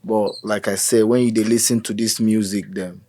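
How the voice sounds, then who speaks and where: A man's voice: fairly low pitch, moderate pace, no echo. A young Nigerian man with a Nigerian accent, a musician chatting casually as an interview guest and slipping into Pidgin. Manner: relaxed, drops into Pidgin, many "you know"s.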